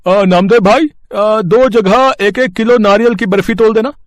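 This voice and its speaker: A man's voice: high-pitched, normal speed, no room sound, clean studio sound, voice pushed up, lightly acted. A man voicing a friendly, slightly hesitant male customer in a cartoon story.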